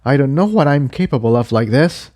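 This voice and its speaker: A man's voice: mid-range voice, lively intonation, brisk, warm tone, voice pushed up, close to an everyday voice. A man voicing an earnest, cautious male pony in a story reading, explaining himself hesitantly. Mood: troubled, explaining.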